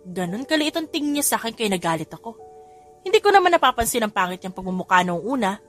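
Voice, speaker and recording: A woman's voice: on the high side, sing-song, fast, soft background music. Plucky young heroine, voiced by a woman narrator. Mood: indignant. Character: proud, plucky young woman, easily flustered.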